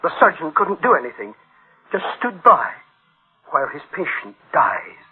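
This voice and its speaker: Troubled scientist in a radio play, broody, clipped dramatic delivery, sounds like a tinny old radio. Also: male voice, fairly high pitch, very animated, normal speed, husky, soft background music, heavily acted. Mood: alarmed. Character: troubled male scientist, brooding over his dangerous invention. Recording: tinny band-limited radio recording.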